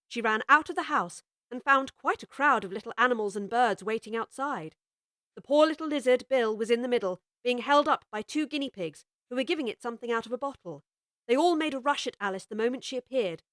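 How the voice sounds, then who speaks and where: A woman narrating a full-cast audiobook of a children's classic in a measured, expressive way. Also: a little high, no echo, studio quality. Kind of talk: scripted storybook narration.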